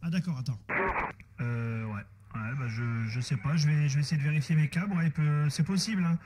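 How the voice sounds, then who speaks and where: A man with a slightly high voice talks casually as a radio operator. He is hesitant and repeats himself as he works through technical checks, with clear noise on the audio. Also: fairly quick. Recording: noisy amateur radio audio with static.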